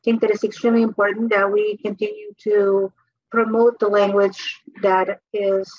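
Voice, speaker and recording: A woman's voice: mid-range voice, moderate pace, a little mellow. Polite woman from Angola, muffled audio. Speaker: social service worker. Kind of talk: self-introduction.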